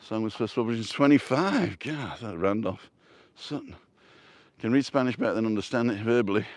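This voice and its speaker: A man's voice: on the low side, expressive, normal speed, darkish tone, no room sound. A man with a Yorkshire accent, chatting and joking on a live walk outdoors by the sea. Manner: chatty, joking, reads viewer comments aloud. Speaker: vlog host. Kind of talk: casual live-stream walk chat. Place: outdoors on a seaside walkway.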